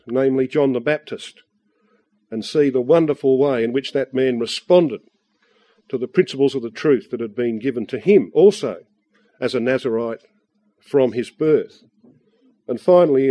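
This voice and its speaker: A man with a husky, congested voice from the flu, giving an earnest, measured Bible study talk with frequent fillers. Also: fairly high pitch, warm tone. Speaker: visiting Bible study speaker.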